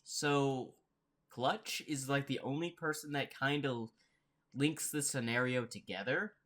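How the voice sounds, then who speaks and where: Reaction host, mid-range voice, casual rambling commentary, thinking out loud. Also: medium pitch, measured pace.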